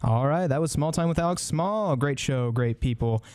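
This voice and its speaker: A man's voice: on the high side, moderate pace, darkish tone, clean tone, no echo. A man talking casually as a radio DJ between programmes.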